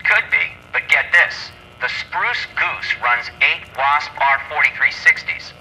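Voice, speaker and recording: An American actor playing a brisk, slangy 1940s police lab technician explaining things over a telephone line in a game. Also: male voice, mid-range voice, expressive, bright, forceful tone. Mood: urgent, informative. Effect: telephone filter. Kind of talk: video game dialogue, phone call.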